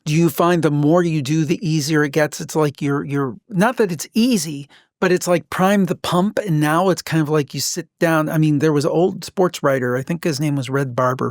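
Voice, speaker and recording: A man's voice: a little high, dry recording, clean studio sound. A man hosting a casual interview, rambling and correcting himself as he works toward his questions. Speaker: podcast host, interviewer.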